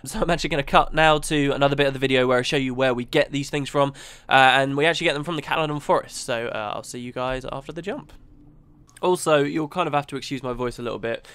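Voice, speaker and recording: A man with a cold narrating a gameplay guide, explaining things step by step in a relaxed, chatty way. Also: medium pitch, quick pace, clean tone, no echo. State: has a cold, sniffly. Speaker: gaming channel host.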